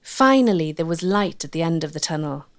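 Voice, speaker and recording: A woman's voice: low-pitched, lively intonation, a little fast. A woman with a Welsh accent reading scripted narration as the presenter of a documentary.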